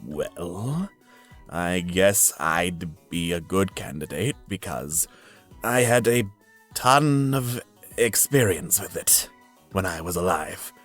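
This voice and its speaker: A man's voice: low-pitched, expressive, slow, a bit bright, soft background music, exaggerated voice. A man playing a sheepish, awkward priest in a visual novel, hesitant and earnest. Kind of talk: character acting in a visual novel.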